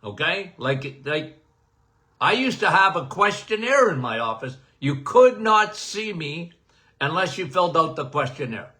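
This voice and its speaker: An older man, a doctor hosting a podcast, giving a casual and emphatic health talk, punctuated by "okay?" and self-described as loud-voiced. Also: fairly high pitch, measured pace. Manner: animated, emphatic, repeats "okay?"; says he has a loud voice.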